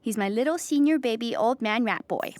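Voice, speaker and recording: An actress playing an anxious, earnest, depressed clown in a theatrical audio drama monologue, explaining with dramatic emphasis. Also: female voice, fairly high pitch, brisk, a little mellow, no room sound, natural voice. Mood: earnest, fond.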